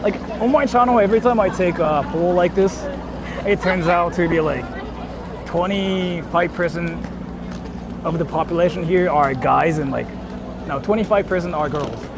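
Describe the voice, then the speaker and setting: A man's voice: high-pitched, moderate pace. A young man from Japan with a Japanese accent, chatting casually as a live streamer, informal, repetitive and free with swearing. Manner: repeats himself, informal, swears freely. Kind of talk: casual live-stream chat, answering viewer comments. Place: outdoors on a busy city street at night. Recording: street noise, traffic and crowd.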